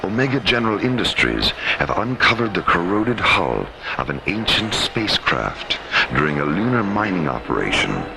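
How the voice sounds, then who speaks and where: Deep, creaky ominous sci-fi narrator. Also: male voice, big pitch swings, noisy background, full character voice. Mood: grave, suspenseful. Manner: grave, portentous, drawn-out phrasing. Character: solemn, ominous science fiction radio narrator. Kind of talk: dramatic scripted radio narration.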